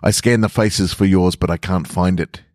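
A man's voice: deep voice, fairly even intonation, moderate pace, darkish tone, no room sound, clean studio sound. A man hosting a podcast and reading short fiction in an informal, playful manner. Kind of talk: casual intro and narrated short stories.

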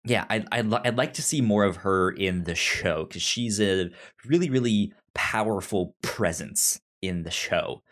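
A man co-hosting a casual TV discussion podcast, speaking haltingly with stammered, repeated syllables and words. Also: on the low side, a bit bright. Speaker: podcast co-host.

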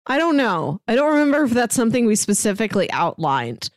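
A woman's voice: on the high side, very animated, fairly quick, no echo, clean studio sound. A woman co-hosting a podcast in casual, unscripted conversation. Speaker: podcast co-host.

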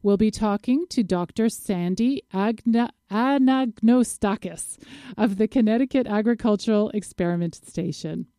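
A woman's voice: mid-range voice, normal speed, dark tone, no echo, studio quality. A woman hosting a radio show, introducing topics and interviewing a guest.

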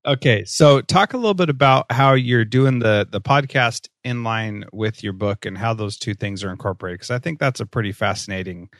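A man's voice: on the low side, normal speed, hardly any pauses, fairly bright tone, no room sound, clean studio sound. A man hosting a podcast interview, upbeat and conversational, laughing easily with his guest. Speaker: podcast host.

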